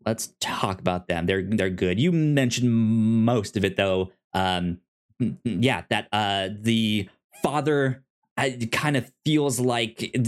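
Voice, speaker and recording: A man co-hosting a podcast in casual film discussion, speaking haltingly as he thinks aloud. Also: mid-range voice. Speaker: podcast co-host.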